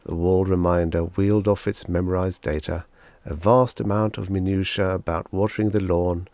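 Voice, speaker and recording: A man's voice: low voice, steady tone, normal speed, darkish tone, no room sound. A man narrating a short story as a scripted audiobook reading.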